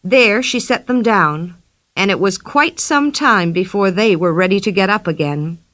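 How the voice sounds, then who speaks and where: A woman reads a children's story in narrator style. Her voice is mid-pitched, and the recording is very dry with no room sound. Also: lively intonation, unhurried, fairly bright tone, no room sound. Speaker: audiobook narrator.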